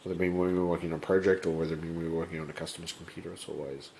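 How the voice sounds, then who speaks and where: A man with blocked sinuses, talking off camera at a home workbench in a rambling, halting way that breaks into swearing when he is frustrated. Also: sits low, level tone, vocal fry, darkish tone, a little hiss or hum. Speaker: channel host. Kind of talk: casual vlog.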